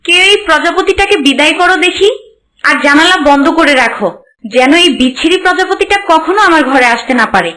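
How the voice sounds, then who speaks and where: A woman's voice: very high voice, expressive, a little fast, sharp tone, heavily acted. A woman voicing a haughty, easily wounded young princess in a cartoon fairy tale. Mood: angry. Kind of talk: cartoon voice acting.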